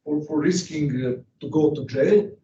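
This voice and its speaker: Guy lecturing a class, emphatic, rhetorical questions, dark-toned voice, dull distant echoey recording with faint rumble. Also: moderate pace. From Serbia. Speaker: economics lecturer.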